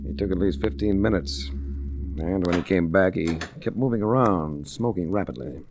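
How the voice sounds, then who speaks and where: An actor playing a wry, laconic adventurer detective, narrating and talking in hard-boiled 1940s radio style. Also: male voice, deep voice, normal speed, gravelly. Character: wry, cool male ex-attorney adventurer detective. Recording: noisy old 1940s radio recording.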